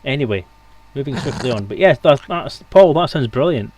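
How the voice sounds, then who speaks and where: A man's voice: medium pitch, animated, normal speed, a little mellow, slight background noise, poor audio. A man hosting a livestream with casual, jokey banter. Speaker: stream host.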